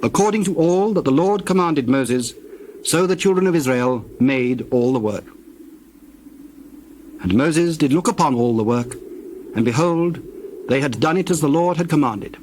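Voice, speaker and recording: Steady male scripture narrator. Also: on the high side, slight background noise. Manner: steady, measured reading.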